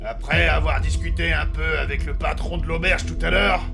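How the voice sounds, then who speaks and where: A loud-mouthed elf sea captain acted by a man, with a very creaky, forceful voice. He talks in blunt slang like a grumpy old sailor who has seen it all. Light music plays behind this comic fantasy drama. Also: a little high, steady tone, moderate pace. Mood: matter-of-fact. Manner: blunt, slangy, hesitant delivery. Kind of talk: French comedic fantasy audio drama acting.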